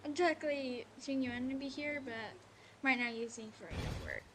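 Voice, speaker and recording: A woman's voice: high voice, steady tone, a little fast, a little hiss or hum. A woman giving casual gameplay commentary as a streamer, talking through what she is doing. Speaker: game streamer. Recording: loud game music behind voice. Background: loud game music.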